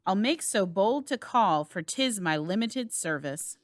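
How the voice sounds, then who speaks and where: A woman's voice: mid-range voice, lively intonation, measured pace, slight background noise, natural voice. A woman playing a loyal, courteous nobleman in a theatrical, declamatory Shakespeare reading. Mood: polite, brisk. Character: earnest Scottish nobleman, loyal and courteous, passionate.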